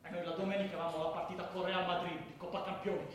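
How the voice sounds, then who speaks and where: An actor in a radio drama playing a fervent football ultra who tells stories in a colloquial, emphatic way. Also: male voice, high voice, flat delivery, very fast, lightly acted. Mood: earnest, proud. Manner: colloquial, emphatic, bursting into shouts and chants. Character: fervent male football ultra recounting matches and brawls. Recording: echoey room with faint noise.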